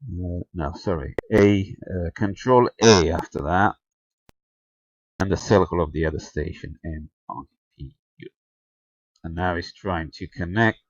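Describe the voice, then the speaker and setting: A man with a very deep voice talks through a technical demonstration in a casual way. He speaks very slowly and hesitantly, thinking aloud. The sound is dry and somewhat dull. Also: no room sound. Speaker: amateur radio video tutorial presenter. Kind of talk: casual hands-on screen demonstration narration.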